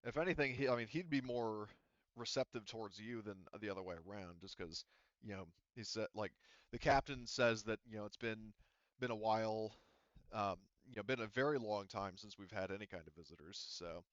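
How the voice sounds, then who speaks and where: Halting, low-voiced man as game master. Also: no echo, lo-fi sound. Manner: halting, rambling, with repeated restarts and hedging asides. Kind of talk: narrating a tabletop roleplaying game, off the cuff.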